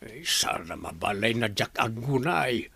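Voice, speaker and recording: A man with an Ilocano Filipino accent playing an ailing, worried older husband and father in a radio drama, with a husky, gravelly voice. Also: fairly low pitch, big pitch swings, unhurried, bright, forceful tone, faint music behind, heavily acted. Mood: weary, resigned.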